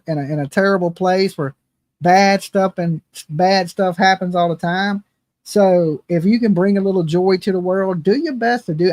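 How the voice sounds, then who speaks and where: A man's voice: high-pitched, darkish tone, no room sound. A man in his fifties hosting a true crime channel, chatting casually and bluntly with lots of "right?" and "okay?". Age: 50s. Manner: rambling, blunt, self-mocking, frequent "right?" and "okay?". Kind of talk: casual livestream chat.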